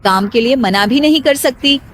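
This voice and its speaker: A woman's voice: sits high, normal speed, a bit bright, slight background noise. A woman reading scripted narration for a cartoon moral story as its storyteller.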